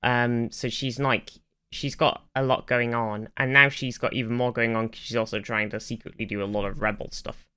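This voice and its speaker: A man's voice: medium pitch, level tone, moderate pace, bright, forceful tone, clean tone. A man co-hosting a podcast, chatting casually and informally with plenty of swearing. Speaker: podcast co-host. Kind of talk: casual chat about a TV series.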